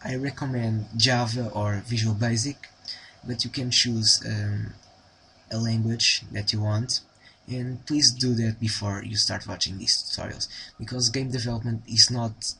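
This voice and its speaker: Portuguese-accented man with a bright voice. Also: medium pitch, fairly even intonation, measured pace, vocal fry, slight background noise. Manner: hesitant, many ums and restarts. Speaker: tutorial host. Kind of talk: casual spoken tutorial.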